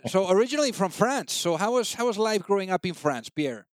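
A man's voice: high-pitched, lively intonation, brisk, no echo, studio quality. A man with a Spanish accent hosting a podcast interview, upbeat and chatty. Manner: upbeat, chatty with many fillers. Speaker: podcast host. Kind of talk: casual interview chat.

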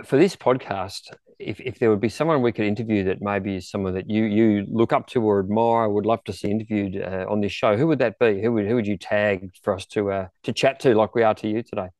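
Guy podcast host, lowish voice, asking questions casually, really dry close sound. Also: a little fast, a little mellow, no echo. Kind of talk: casual interview.

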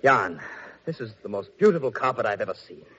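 A man's voice: on the low side, very animated, fairly quick, pushed and bright, slight background noise. A man playing a fussy, easily exasperated old king and father in a theatrical fairy-tale audio play. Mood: bewildered. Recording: dull lo-fi recording, faint music.

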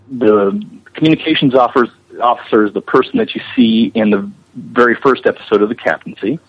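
A man speaking as an interview guest, explaining his animation work with frequent pauses mid-phrase. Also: lowish voice, creaky, breathy edge. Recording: muffled, lo-fi audio.

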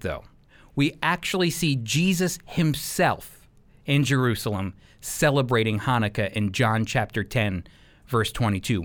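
A male Bible teacher speaks in a sermon-like style with a lively, wide-ranging pitch. His delivery is earnest and emphatic, leaning on key words as he explains scripture. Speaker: Bible teacher on a radio program.

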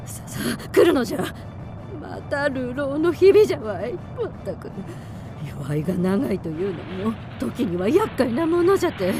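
A woman's voice: high-pitched, heavily acted. A woman playing an ancient, imperious demon mother who speaks like an old crone in archaic, commanding phrases in a radio drama. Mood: urgent, scolding.

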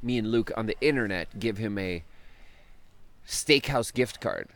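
A man's voice: on the low side, animated, measured pace, a bit bright. A man in his thirties from Sweden, hosting a podcast in casual chat. He laughs often while telling rambling anecdotes. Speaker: podcast host.

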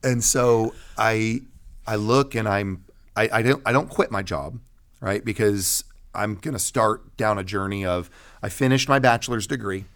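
Low-voiced, emphatic American preacher man. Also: unhurried, fairly bright tone. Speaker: pastor guest telling his testimony. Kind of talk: personal testimony in an interview.

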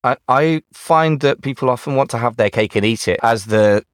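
A man's voice: medium pitch, normal speed, no room sound, studio quality. A man hosting a business podcast, chatting casually with playful asides and thinking aloud with fillers. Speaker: podcast host. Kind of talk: casual interview chat.

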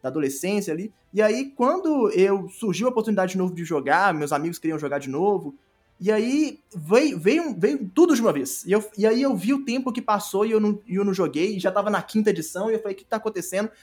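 High-pitched young Brazilian man, chatting informally. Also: animated, a little mellow, husky, soft background music. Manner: informal, halting with repeated words. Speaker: podcast guest, audio drama creator. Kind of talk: casual interview chat.

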